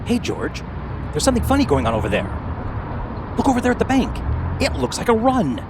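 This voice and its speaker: A lively, folksy small-town cabbie. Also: male voice, very high voice, fairly quick, gravelly, noisy background, heavily acted. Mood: concerned. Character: chummy, folksy small-town cab driver, an old pal. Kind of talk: old-time radio play acting.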